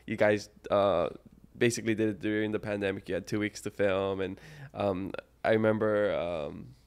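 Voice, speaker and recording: A man's voice: on the low side, moderate pace, clean tone. Laid-back man. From Hawaii. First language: Hawaiian. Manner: relaxed, rambling with many fillers. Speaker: podcast host. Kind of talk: casual podcast interview.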